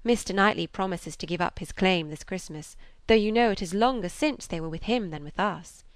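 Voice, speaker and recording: A woman voicing a composed, cheerful young lady in an audiobook, a dutiful daughter who is polite and gently persuasive. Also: medium pitch, brisk, no echo, close to an everyday voice. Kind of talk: audiobook character reading of a classic novel.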